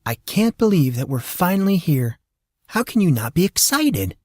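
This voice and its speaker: A man's voice: fairly high pitch, sing-song, normal speed, darkish tone, dry recording, studio quality, voice pushed up. A man voicing a cheery, doting middle-aged woman with a sweet, coaxing, motherly manner in an audiobook. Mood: excited, reassuring.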